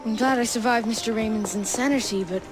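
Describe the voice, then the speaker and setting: A woman's voice: fairly high pitch, fast talker, lightly acted, poor audio. A woman voicing an earnest, precocious little Victorian boy who speaks precisely in a video game cutscene. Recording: music behind the dialogue.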